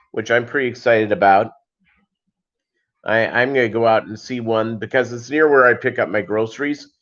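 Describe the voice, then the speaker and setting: A dull, lo-fi livestream recording of a man with a mid-pitched voice. He hosts a casual chat, rambling from one thought to the next and tossing in deadpan, joking asides. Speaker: host of a live fishkeeping chat show.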